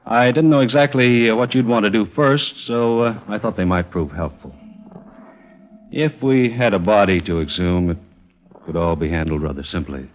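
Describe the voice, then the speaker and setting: A man's voice: fairly low pitch, normal speed, natural voice. An actor playing a careful, earnest American insurance official in 1950s radio drama, methodical and a little hesitant as he explains. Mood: hesitant, helpful. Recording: muffled, noisy old radio recording with clicks.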